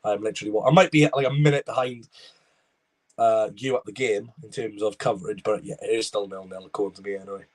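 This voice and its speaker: A man's voice: fairly low pitch, fairly even intonation, fast. Chatty Welsh man streaming a match. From Wales. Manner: rambling, chatty, reads viewer comments aloud. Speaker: football watchalong streamer. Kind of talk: live match reaction and chat reading.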